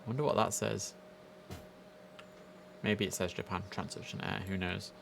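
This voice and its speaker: A man's voice: fairly low pitch, steady tone, normal speed, creaky, fairly bright tone, slight background noise. A young man giving chatty, enthusiastic casual commentary as he streams a game live from indoors. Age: young adult. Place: indoors at a computer.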